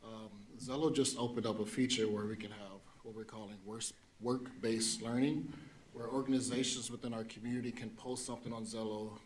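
A man's voice: lowish voice, steady tone, fairly quick, poor audio. A man in his forties, a presenter answering board questions in a plain, explanatory way on a desk mic. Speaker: district program presenter. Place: meeting room. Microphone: desk gooseneck mic.

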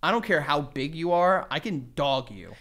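A young man co-hosting a podcast in casual chat, joking and animated, with dry deadpan asides, on a desk mic in a small studio. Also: on the high side, moderate pace. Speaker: podcast co-host. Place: small studio room, indoors. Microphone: desk dynamic mic on stand.

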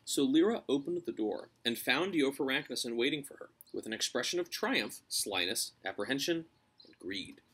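A man's voice: medium pitch, animated, normal speed, fairly bright tone, husky, low-quality recording. An American man in his thirties narrating a children's novel, reading aloud on a porch. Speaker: storybook reader. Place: covered house porch, outdoors.

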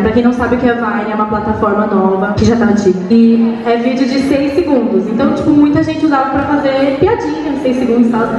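An eighteen-year-old woman chats in a teasing, slightly shy way. Her voice is fairly high. She is recorded from a distance in an echoey hall, and the sound is a little dull. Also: background noise. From São Paulo state, Brazil. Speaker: panel speaker. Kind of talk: casual storytelling on stage. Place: large hall stage. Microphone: handheld mic. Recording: muffled echoey hall from far off.

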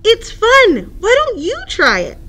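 A woman voicing an excitable, playful female duck who squeals and exclaims in a children's storybook. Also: very high-pitched, takes it slowly, pitched above the performer's own voice, exaggerated voice. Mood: gleeful, eager. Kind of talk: children's storybook read-aloud.

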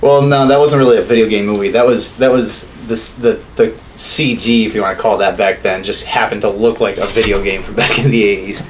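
Casual male podcast co-host, 30s, low voice, rambling and sweary, clear room noise behind. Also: steady tone, brisk, few pauses, low-quality recording. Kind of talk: casual opinionated chat about films and games. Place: small home studio room, indoors.

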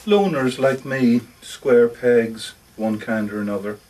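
A man's voice: mid-range voice, takes it slowly, mellow, recorded from a distance, lightly acted, low-quality recording. A man reading a short story monologue as a lonely, socially awkward older lodger, confiding, hesitant and defensive, correcting himself as he goes. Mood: wistful. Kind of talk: first-person short story monologue read aloud. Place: live reading in a bookshop.

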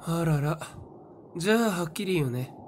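A man's voice: fairly high pitch, lively intonation, normal speed, slight background noise, close to an everyday voice. A man acting a cynical young seer in an audio drama, nonchalant, flippant and coolly taunting. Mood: mocking.

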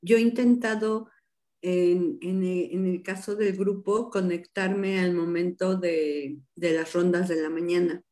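A woman's voice: fairly low pitch, fairly even intonation, measured pace, mellow. A woman in a class asking the teacher a question over a video call, hesitantly searching for her words. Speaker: class participant. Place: home room, video call. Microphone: laptop webcam mic on a video call.

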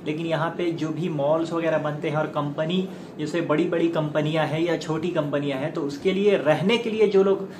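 Man, thirties, higher-pitched voice, halting informal explainer, lapel mic, clearly noisy recording. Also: steady tone. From India. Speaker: YouTube presenter giving career advice. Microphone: clip-on lapel mic. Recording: echoey room with loud background noise.

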